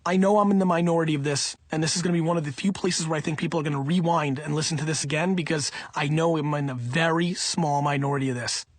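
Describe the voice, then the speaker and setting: A man reading an audiobook in the first person, in an emphatic, punchy, conversational way with a husky voice. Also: fairly high pitch, steady tone, quick pace, few pauses, no room sound, clean studio sound. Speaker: audiobook reader.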